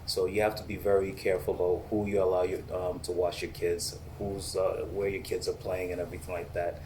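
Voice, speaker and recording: A man in his thirties from the Boston area, a police officer answering interview questions in a measured, steady way with frequent ums. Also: low-pitched, slight background noise. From United States, Boston area.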